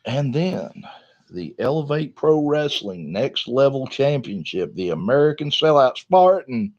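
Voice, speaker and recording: A man's voice: mid-range voice, very animated, unhurried, darkish tone. A man with a Southern US accent hosting a wrestling podcast, chatting and recapping matches in a folksy, colloquial storytelling way. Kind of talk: casual wrestling recap chat. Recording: dull, lo-fi podcast audio.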